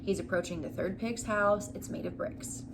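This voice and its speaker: A woman's voice: mid-range voice, normal speed, noisy background. A young adult woman speaking as a teacher, giving an instructional story lesson for children and prompting listeners with questions. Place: small living room indoors. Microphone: camera a short distance away.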